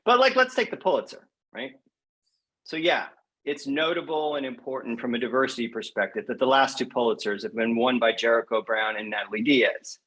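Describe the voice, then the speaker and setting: A man's voice: mid-range voice, expressive, fairly quick, fairly bright tone, poor audio. A man speaking as a guest poet in a podcast interview, thoughtful and emphatic, often restating a point for weight.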